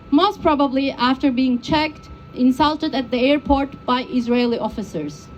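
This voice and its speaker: A woman's voice: high-pitched, a little hiss or hum, poor audio. A woman from Turkey, a peace activist, giving a prepared, personal public speech with earnest emotion.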